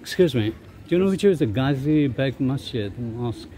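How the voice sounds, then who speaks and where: A man's voice: medium pitch, expressive, moderate pace, warm tone. Man vlogging while walking down a street. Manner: asks strangers for directions, short offhand remarks. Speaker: travel vlogger. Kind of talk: casual walking vlog narration. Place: outdoors on a pedestrian street in an old town. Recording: outdoor street noise behind.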